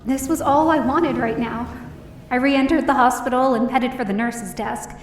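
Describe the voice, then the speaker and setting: A woman's voice: high-pitched, moderate pace, echoey room, distant mic, a little hiss or hum, lightly acted. A woman playing an emotional young woman who narrates her own story in an audio drama. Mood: tense narration. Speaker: first-person narrator and lead.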